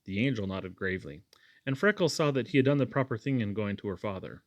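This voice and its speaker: A man's voice: on the low side, lively intonation, quick pace, dry recording, highs cut off. Man reading a novel, close and dry. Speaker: audiobook reader. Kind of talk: scripted storybook narration.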